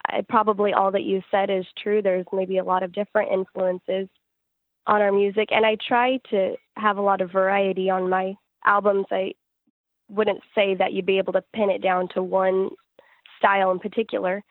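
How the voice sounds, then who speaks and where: A woman's voice: medium pitch, flat delivery, normal speed, no room sound, narrow, tinny audio. A woman who sings and writes gospel music, answering interview questions in a conversational way. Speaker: interview guest, gospel singer-songwriter.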